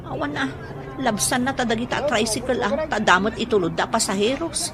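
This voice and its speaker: A woman's voice: on the high side, brisk, lightly acted. A woman with an Ilocano Filipino accent playing a nagging, unimpressed village wife in a comedic radio drama. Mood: complaining. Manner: complaining, critical.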